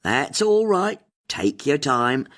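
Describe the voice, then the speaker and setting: A man's voice: mid-range voice, sing-song, takes it slowly, fairly bright tone. A man playing a breezy, upbeat radio presenter woman.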